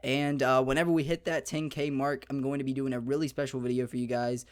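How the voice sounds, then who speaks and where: A young man hosting a gaming channel, slightly hoarse, talking through a beginner's game guide in an upbeat, chatty way with many fillers. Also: medium pitch, flat delivery, fast talker. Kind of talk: casual gaming guide talk.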